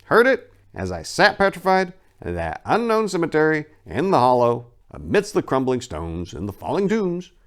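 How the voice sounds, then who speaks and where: A man playing a haunted survivor recounting a horror, theatrical and halting, swelling from hushed to anguished. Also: fairly high pitch, huge pitch swings, pitched above the performer's own voice. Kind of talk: dramatic horror story reading.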